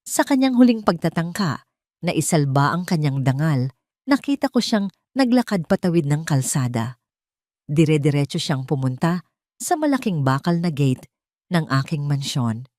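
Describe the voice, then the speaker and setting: A woman's voice: sits low, very animated, normal speed, darkish tone, no room sound, studio quality. A woman reading a scripted first-person story as a dramatic narrator.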